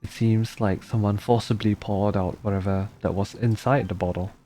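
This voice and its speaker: A man's voice: lowish voice, fairly even intonation, moderate pace, mellow. A man giving casual, laid-back gameplay commentary, murmuring reactions and reading dialogue aloud. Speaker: streamer playing a game. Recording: game music behind the voice.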